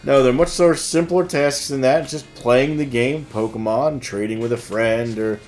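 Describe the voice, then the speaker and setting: A man's voice: medium pitch, steady tone, moderate pace, a little mellow, faint music behind. A man hosting a casual news show, hesitant and easygoing as he reads out announcements and banters. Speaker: host of a game shop news show.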